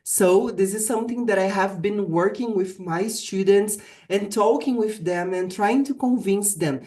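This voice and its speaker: A woman from Brazil, a native Portuguese speaker with a Brazilian accent, giving a prepared talk as an English teacher in an earnest, deliberate manner. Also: mid-range voice, dark tone. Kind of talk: prepared virtual summit presentation.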